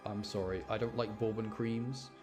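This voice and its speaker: Low-pitched British man streaming over music. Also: fairly even intonation, normal speed. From United Kingdom. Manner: rambling, repeats words and phrases. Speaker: game streamer. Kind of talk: casual livestream chat and game commentary. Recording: music playing behind the voice. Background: game music.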